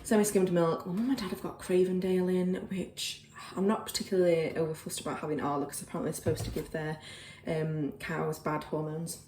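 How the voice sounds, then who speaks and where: Yorkshire girl chatting to her phone at home, lots of ums, laughs at herself, lowish voice. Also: little pitch movement, fairly quick, hardly any pauses, noisy background. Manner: rambling, filler-heavy, self-deprecating. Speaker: vlogger. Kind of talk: casual chatty vlog. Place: home, kitchen then bedroom. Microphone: phone camera.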